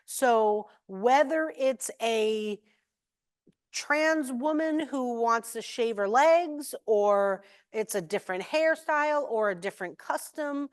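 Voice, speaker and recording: A woman's voice: fairly high pitch, lively intonation, slow pace, dry recording, clean studio sound. A middle-aged American woman giving a conference talk in a conversational, emphatic way, telling anecdotes and acting out the dialogue. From New England, USA. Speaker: keynote speaker, occupational therapist.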